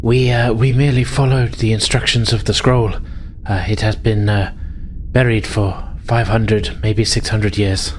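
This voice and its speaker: A man's voice: fairly low pitch, steady tone, a little slow, a little hiss or hum, lightly acted. A man voicing a deferential, earnest alien pilot in a tabletop game, speaking formally and hesitantly. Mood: humble, earnest.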